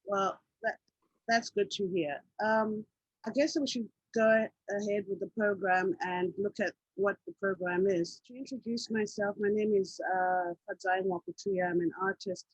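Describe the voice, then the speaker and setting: Husky woman from Zimbabwe on a call. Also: medium pitch, steady tone, measured pace, dry recording, muffled recording. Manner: hesitant, many fillers. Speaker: host and artist. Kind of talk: casual video call talk. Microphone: video call.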